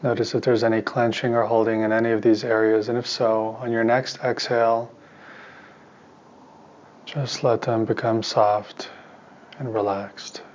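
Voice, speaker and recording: A man teaching meditation in a soft voice, giving calm, soothing instructions in short, spaced phrases. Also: fairly low pitch, a little slow, pauses often, muffled recording. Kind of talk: guided meditation.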